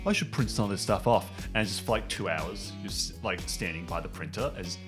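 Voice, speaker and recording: A man with a fairly low voice acts as game master for an improvised tabletop game. He narrates scenes casually, in a halting, informal manner. Faint music plays beneath him. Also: expressive.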